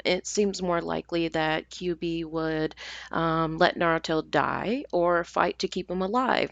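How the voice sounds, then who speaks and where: A woman's voice: fairly low pitch, gravelly, no echo, highs cut off. A woman host giving a casual, dramatic review, with exasperated, hesitant asides and exaggerated emphasis.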